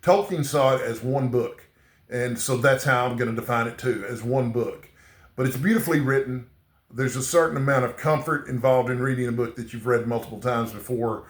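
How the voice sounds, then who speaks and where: A man's voice: mid-range voice, roomy sound. A man with a slight Texan accent chatting casually to camera, rambling and often tagging his sentences with "okay?". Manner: rambling, repeats "okay?" often, halting restarts. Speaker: booktuber.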